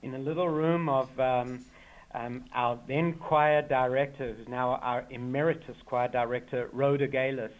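An effusive man with a South African accent, talking eagerly as a guest on a radio show. His voice sits at an ordinary middle pitch, and he piles on warm praise while a few filler sounds break up his sentences. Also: fairly even intonation, normal speed, poor audio. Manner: enthusiastic, effusive. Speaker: choir member, guest. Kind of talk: radio interview.

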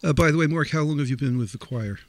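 A man's voice: on the high side, quick pace. A man hosting a radio interview, speaking hesitantly, with many "uh"s and "um"s. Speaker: radio host.

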